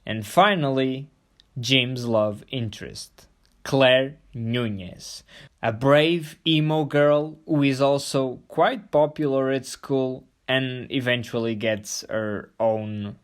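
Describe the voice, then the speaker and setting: A man's voice: mid-range voice, slow pace. A man hosting a scripted video review, playful and full of jokey asides. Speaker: host of a review show.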